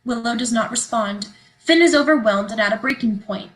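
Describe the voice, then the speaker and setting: A woman's voice: a little high, fairly quick, a bit bright, poor audio. A woman reading stage directions aloud as scripted narration for a play.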